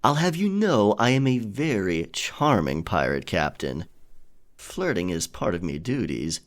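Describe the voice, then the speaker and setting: A man's voice: low voice, creaky, heavily acted. A man playing a charming, flirtatious pirate captain in a romantic audio roleplay, teasing and tender as he speaks to his lover.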